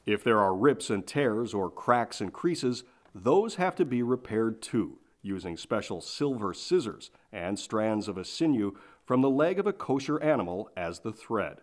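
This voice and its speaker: A male TV reporter reading scripted news narration in a measured, formal way. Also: fairly low pitch, very animated.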